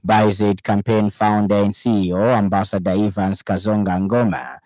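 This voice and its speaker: Male radio newsreader, Zambian accent, lower register, formal scripted delivery, dry studio sound. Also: fairly even intonation, normal speed, a little mellow, no echo. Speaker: radio news reader. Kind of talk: scripted news bulletin reading.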